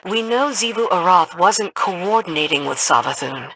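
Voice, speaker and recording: A hard-edged game commander. Her voice is fairly low and bright, forceful, with blunt, sardonic, no-nonsense delivery. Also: lively intonation, moderate pace, slightly rough, no echo, exaggerated voice, poor audio. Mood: brisk, businesslike. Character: tough, sardonic female military second-in-command to a queen. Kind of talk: video game voice acting.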